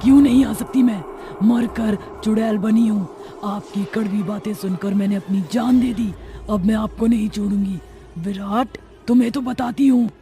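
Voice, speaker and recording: A woman's voice: medium pitch, fairly even intonation, normal speed, warm tone, slight background noise, lightly acted. A woman voicing the vengeful ghost of a wronged young wife in a cartoon horror story, menacing and accusing.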